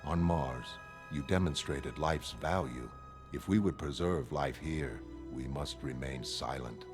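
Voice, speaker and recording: A man voicing a coldly logical, godlike male superbeing in a motion comic, calm and emotionless. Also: a bass voice, a little slow, over music. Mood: cold, resigned.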